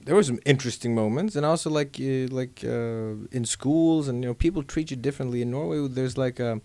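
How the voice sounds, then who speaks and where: A man's voice: mid-range voice, brisk, mellow, no room sound. A man hosting a podcast in casual chat. He rambles, uses many fillers and laughs easily. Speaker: podcast host.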